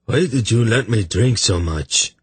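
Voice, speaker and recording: A wretched, self-pitying royal in a fantasy tale, put on by a man. He moans weakly and plaintively in a breathy, husky voice, drawn out and full of suffering. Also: deep voice, animated, slow, dry recording, heavily acted. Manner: plaintive, weak moaning.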